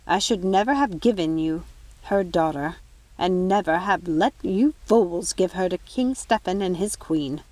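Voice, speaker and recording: A woman's voice: on the low side, measured pace, a little mellow, no echo, poor audio. A woman voicing an older fairy nanny in a storybook, protective and remorseful, speaking with emphatic reproach. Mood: bitter, regretful.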